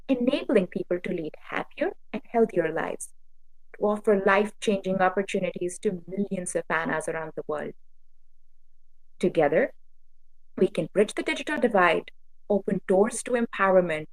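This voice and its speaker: Woman reading a polished pitch, dry sound. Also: no echo, low-quality recording. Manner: polished, rhetorical, promotional. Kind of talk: scripted pitch narration.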